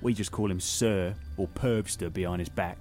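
A man's voice: low-pitched, normal speed, a little mellow, faint music behind, lightly acted. An actor playing a breezy young male park worker with a British accent, in naturalistic audio drama style. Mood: gossipy. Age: young adult. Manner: casual, chatty.

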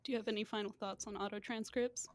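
A woman's voice: medium pitch, steady tone, quick pace, no room sound. A woman hosting a podcast in casual, chatty banter, often giggling as she talks. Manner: giggly, laughs often while talking. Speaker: podcast host.

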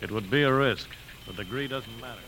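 Man playing a quick-spoken, cautious airline captain. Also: medium pitch, over loud music, close to an everyday voice. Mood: firm. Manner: measured, official, regretful. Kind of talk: 1950s American radio drama acting. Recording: noisy old radio broadcast, engine hum.

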